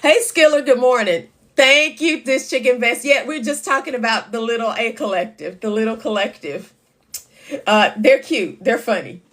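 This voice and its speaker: A woman hosts a live chat in a loud, carrying voice that sits a touch high. She talks to her viewers casually and reads their comments aloud as they come in. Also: normal speed. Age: 50s. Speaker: livestream host.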